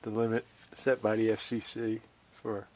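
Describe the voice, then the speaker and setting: American man, middle-aged or older, low flat voice, folksy casual show-and-tell, unhurried, noisy recording with clicks. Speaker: DIY video host.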